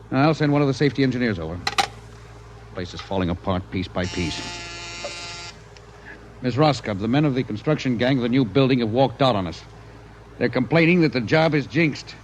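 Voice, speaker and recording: A man's voice: medium pitch, expressive, moderate pace, pauses often, lightly acted. A man acting an impatient, irritable bureau chief with a brusque, scolding manner in a 1950s radio drama. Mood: flustered, exasperated. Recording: muffled, noisy vintage radio recording.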